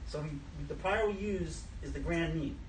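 A man's voice: a little high, moderate pace. Blunt New York man lecturing. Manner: blunt, joking, self-deprecating, gestures broadly. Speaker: speaker at a conference session. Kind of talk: casual live lecture. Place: conference room with a projector, audience seated. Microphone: camera at the back of the room, no mic. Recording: roomy, distant, noisy room recording.

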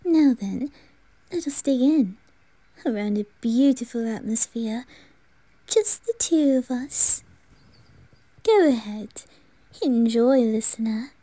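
Woman voicing a coy, soft-spoken boy noble. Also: fairly high pitch, animated, slow, long pauses, no echo, poor audio. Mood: gracious, affectionate. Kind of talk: ASMR roleplay.

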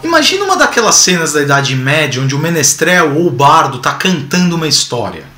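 A man's voice: fairly high pitch, brisk. A man in his forties with a Brazilian accent, giving casual, colloquial explanatory commentary as a video host. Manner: animated, colloquial, rhetorical asides. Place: small indoor home room with bookshelves. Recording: roomy echo, music behind.

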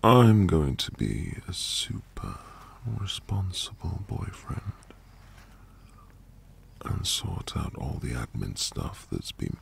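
Man acting as teasing dominant boyfriend, ASMR roleplay, low voice, heavy vocal fry, breathy murmurs, intimate hums. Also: full character voice. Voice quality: breathy, gravelly murmur often dropping to whisper. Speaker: audio roleplay performer.

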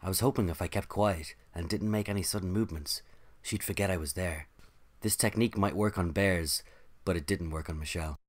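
Low-pitched male narrator, an actor, dry and put-upon, telling an office story for audio drama. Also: fairly quick. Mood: wry. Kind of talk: scripted first-person narration.

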